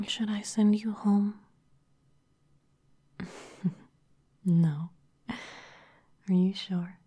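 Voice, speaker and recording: A woman's voice: fairly low pitch, mellow, breathy edge, exaggerated voice. A woman whispering in an ASMR roleplay as a teasing, predatory female vampire office boss. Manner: whispered throughout.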